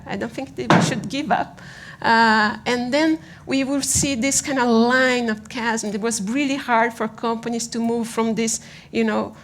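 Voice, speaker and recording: A woman from Brazil with a Brazilian accent giving an informal conference presentation in a halting, conversational manner, often checking in with the listeners. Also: a little high. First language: Portuguese. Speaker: conference speaker on design.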